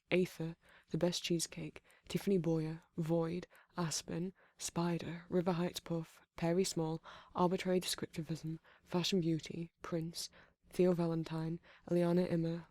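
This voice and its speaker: An audio roleplay creator reading a scripted channel outro and credits in a steady, list-like delivery. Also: mid-range voice, no room sound.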